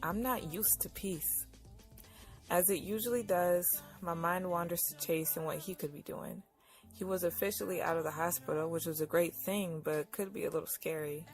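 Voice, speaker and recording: A woman's voice: lowish voice, fairly even intonation, normal speed, faint music behind, natural voice. An actress playing a young married woman in an audio drama, narrating and talking in a wry, conversational way. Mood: reflective. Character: Iris, weary young married woman narrating her own story. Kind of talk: first-person audio drama narration and dialogue.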